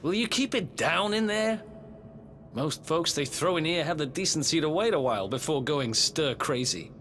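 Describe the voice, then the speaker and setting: An actor playing a cheeky, streetwise young prisoner in a video game, with a wry, informal manner. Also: male voice, on the high side, expressive, moderate pace, a bit bright, a little hiss or hum. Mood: wry irritation. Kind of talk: video game cutscene acting.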